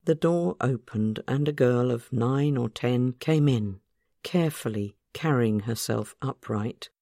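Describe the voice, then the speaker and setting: A woman reading literary fiction aloud as a scripted narration, in a measured, careful storytelling manner. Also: a bass voice, warm tone, dry recording, studio quality. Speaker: author reading own short story.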